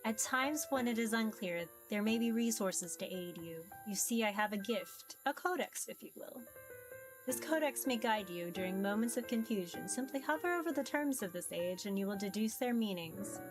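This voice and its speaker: A woman voicing a mysterious ancient being in a visual novel, formal, measured, amused and curious about humans. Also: medium pitch, animated, music in the background, close to an everyday voice. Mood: formal, guiding. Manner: formal, measured, archaic phrasing. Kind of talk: visual novel character read-aloud.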